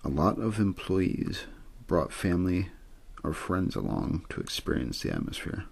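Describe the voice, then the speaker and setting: A man softly reading a story in ASMR style, unhurried and untheatrical, with a husky, gravelly voice. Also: deep voice, sing-song. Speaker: host and reader of an audio ASMR show.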